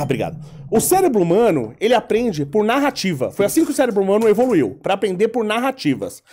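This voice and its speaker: A man with a high, very lively voice chats on a podcast and rattles along quickly. He explains things by telling stories and acts out both sides of the dialogue. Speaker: podcast guest. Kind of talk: casual podcast chat.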